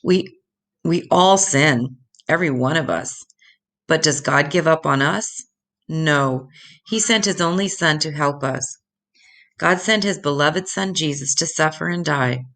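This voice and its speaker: An older woman reading Bible stories aloud to children in a deliberate, instructive way, addressing them directly. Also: deep voice, a bit bright.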